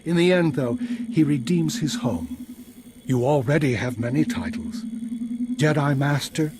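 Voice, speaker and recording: A man as a solemn seer Jedi master over loud ambience. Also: a little high, unhurried, close to an everyday voice. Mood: solemn, prophetic. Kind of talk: scripted video game dialogue. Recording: loud background ambience noise.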